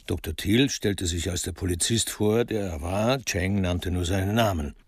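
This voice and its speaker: A man narrating a radio crime drama in a measured, literary storytelling style. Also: low voice, breathy edge, no room sound, clean studio sound.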